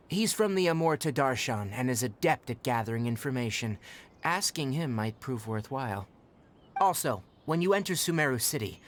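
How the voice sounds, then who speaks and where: A man voicing a composed, astute young forest ranger in a video game, speaking in a measured, matter-of-fact, articulate way. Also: medium pitch, a little hiss or hum, natural voice. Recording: background music under the voice.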